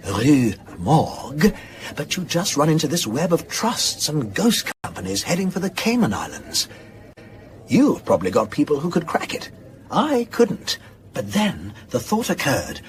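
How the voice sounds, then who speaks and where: A pedantic male academic in a radio drama. His medium-pitched voice swings up and down with theatrical emphasis. He draws out his points and savours every revelation. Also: slight background noise. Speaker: lecturer. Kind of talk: naturalistic radio drama acting.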